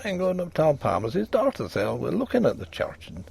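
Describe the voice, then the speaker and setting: A man's voice: on the high side, wildly swooping pitch, fairly quick, vocal fry, darkish tone, slightly rough, pitched above the performer's own voice, heavily acted. A man doing a bluff young Irish doctor. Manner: brusque, bluff and teasing. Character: young male Irish country doctor, hearty, blunt.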